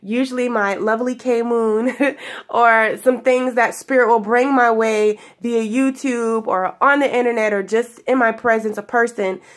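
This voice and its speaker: A woman's voice: fairly high pitch, steady tone. A young woman with a stuffy voice from sinus trouble, giving a spiritual daily message to her viewers in a giggly, affectionate way, drawing out her words. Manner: affectionate, giggly, drawn-out phrases. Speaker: spiritual guidance vlogger. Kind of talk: casual spiritual talk to viewers. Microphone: camera in front of her.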